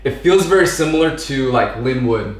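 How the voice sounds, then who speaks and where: A man in his thirties from Southern California, a podcast guest talking casually in a relaxed, rambling way with plenty of fillers and slang. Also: medium pitch, moderate pace. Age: 30s. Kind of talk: casual conversation. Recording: echoey room.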